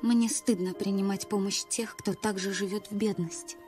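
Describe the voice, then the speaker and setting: A woman's voice: mid-range voice, fairly even intonation, moderate pace, close to an everyday voice. A woman playing a genteel, lonely, devoted young woman in a dubbed film drama, speaking formally and earnestly. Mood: sorrowful pleading. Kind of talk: dramatic film dub, dialogue and letter narration. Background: film music.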